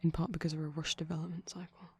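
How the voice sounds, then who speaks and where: A man speaking softly in a chatty, rambling ASMR style, often dropping to a whisper, digressive and tentative. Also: on the high side, flat delivery, fast, gravelly. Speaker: ASMR performer. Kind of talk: soft-spoken rambling ASMR commentary on a film. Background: TV film audio playing in background.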